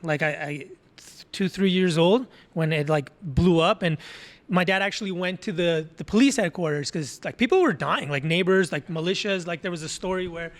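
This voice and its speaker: A man in his thirties from Azerbaijan whose first language is Hebrew, a podcast guest telling his story in a casual, animated way, acting out quoted people and using lots of fillers. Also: sits high, normal speed. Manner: animated retelling with quoted voices, many fillers. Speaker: podcast guest, tattoo artist.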